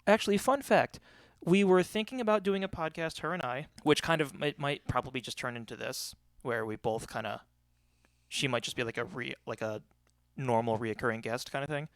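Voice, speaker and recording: A man's voice: mid-range voice, brisk, clean tone, no echo. A young American man hosting a casual podcast, goofy and self-deprecating, rambling off into tangents. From New York State, US. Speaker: podcast host. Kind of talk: casual chat.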